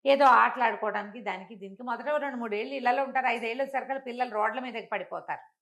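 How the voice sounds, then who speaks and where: An older woman telling a traditional moral story in a conversational interview, acting out the characters' speech with lively jumps in voice. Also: fast. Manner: animated, acts out quoted speech with big pitch jumps.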